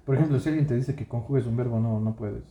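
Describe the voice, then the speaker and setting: A man's voice: mid-range voice, fast talker, roomy sound. A man from Ecuador co-hosting a podcast, chatting casually and leading the talk with questions. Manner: relaxed, asks questions and leads the talk. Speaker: podcast co-host. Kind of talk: casual chat.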